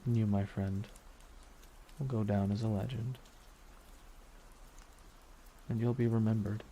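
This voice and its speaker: Man playing a low, brooding villain in the rain. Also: steady tone, dark tone, lightly acted, poor audio. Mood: solemn, wistful. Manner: slow, deliberate, brooding, with long pauses. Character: villain reflecting beside his dying superhero nemesis, wistful, menacing. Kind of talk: intimate ASMR roleplay monologue. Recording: noticeable rain noise throughout. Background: rain falling on a surface.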